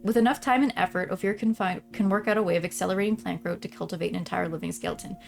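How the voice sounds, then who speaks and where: A woman's voice: fairly low pitch, fairly even intonation, rapid-fire, gravelly, faint music behind. A woman streamer playing a visual novel, switching between reading narration aloud and casual, informal commentary. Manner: chatty, informal, often trailing off mid-thought. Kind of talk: casual let's-play commentary mixed with read-aloud narration.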